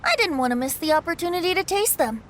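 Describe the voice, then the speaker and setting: A woman's voice: very high voice, animated, fast, a little hiss or hum. A woman voicing a playful, carefree young male bard who teases and laughs easily. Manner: teasing, breezy, laughs easily.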